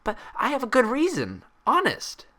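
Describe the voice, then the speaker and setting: A woman's voice: a little high, wildly swooping pitch, normal speed, a bit bright, pitched above the performer's own voice, heavily acted. A woman voicing a bubbly, childlike female cartoon pony who rambles and gushes breathlessly. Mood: bubbly, eager.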